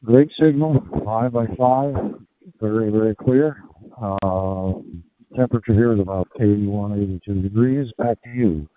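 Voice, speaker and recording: A man's voice: lowish voice, measured pace. A man from Southern California, a cordial and orderly amateur radio operator making a friendly contact. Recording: tinny, noisy ham radio transmission.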